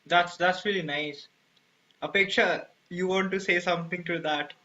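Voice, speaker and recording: A man's voice: high-pitched, steady tone, normal speed, bright, forceful tone, highs cut off. A man hosting a casual interview, giving short friendly prompts to his guests.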